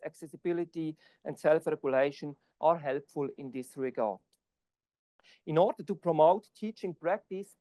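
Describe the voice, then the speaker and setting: A man's voice: a little high, a little mellow, dry recording. Swiss-accented man giving an academic talk. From Switzerland. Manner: non-native English, halting with fillers. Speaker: conference presenter. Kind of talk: academic presentation and Q&A. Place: conference hall.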